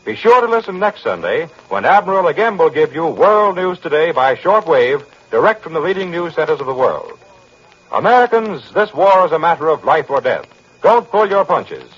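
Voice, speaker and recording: Man, vintage radio announcer, persuasive ad read, lively pitch, husky, dull old broadcast sound. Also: normal speed. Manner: earnest, persuasive. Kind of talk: scripted commercial announcement. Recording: muffled 1940s radio broadcast.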